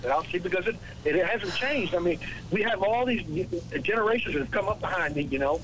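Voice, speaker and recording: An American man in his late sixties, calling a radio show, talking politics casually in a halting, repetitive, exasperated way over a telephone line. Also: sits high, expressive, a bit bright. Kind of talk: casual political phone-in chat. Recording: noisy telephone call to radio.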